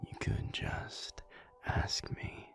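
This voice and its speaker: A man playing a tired, tender alpha werewolf boyfriend in an intimate roleplay audio, murmuring drowsily in a breathy, gravelly near-whisper. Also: a bit bright, faint music behind. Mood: teasing, reluctant. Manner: slow, drowsy, murmuring with long pauses. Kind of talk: intimate roleplay audio acting, addressed to listener.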